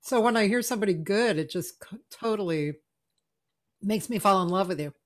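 A woman's voice: medium pitch, expressive, fairly quick, a little hiss or hum. A woman in her sixties hosting a casual video-call interview from her home music room, effusive and often searching for words. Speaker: host. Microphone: studio mic on a video call.

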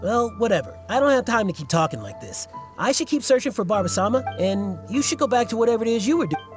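A man's voice: sits high, very animated, fast, over music. A man voicing an earnest, self-important young fan club leader in a video game, stammering and fussy. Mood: fussy, determined. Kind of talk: video game character acting.

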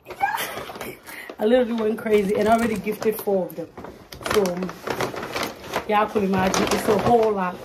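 A young woman vlogging casually into a handheld phone camera, chatty and animated, filmed in a car at night and later at home. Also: medium pitch, normal speed, pauses often. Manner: chatty and animated, lots of "like" and "you guys". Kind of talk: casual vlog chat. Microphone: phone camera held close. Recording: noisy phone recording with car noise.

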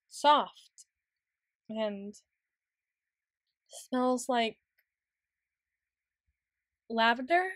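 Casting: woman to play a bookish, flustered tsundere girl for audio roleplay. High voice, slow halting delivery, full of self-doubt. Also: no room sound. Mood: awkward, flustered. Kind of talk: ASMR roleplay monologue.

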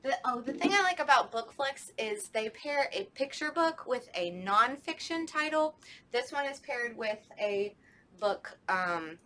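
Young woman, children's librarian, high bright voice, animated, slow delivery, library room. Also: a little hiss or hum. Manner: animated sing-song, talks to kids at home. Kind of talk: children's storytime.

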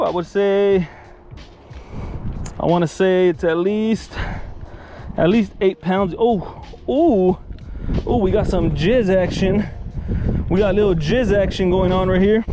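A man vlogging while fishing on a canal bank, excited and repeating himself, filmed on a head-mounted camera. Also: high-pitched. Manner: excited, repeats "you guys", laughs often. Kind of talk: casual vlog commentary. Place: outdoors on a concrete aqueduct bank. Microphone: head-mounted action camera.

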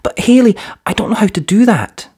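A narrator acts out a young storybook boy of eight, sad yet hopeful. His voice is pitched up, hesitant and earnest. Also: animated, brisk, darkish tone, slightly rough. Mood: unsure, pleading.

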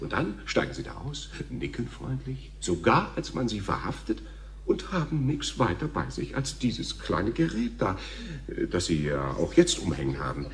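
An actor playing a stammering, self-important and patronizing television talk show host in a radio play. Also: male voice, on the low side, sing-song, moderate pace, a little hiss or hum. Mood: incredulous. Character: flustered, smug male TV talk show host. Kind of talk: German radio play acting.

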